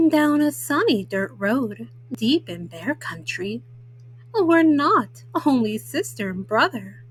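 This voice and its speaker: A woman hosts storytime and reads a picture book aloud. Her voice is high, and she takes her time, phrasing everything for young children. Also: husky, rough recording. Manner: measured, child-directed delivery. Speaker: storytime host and reader.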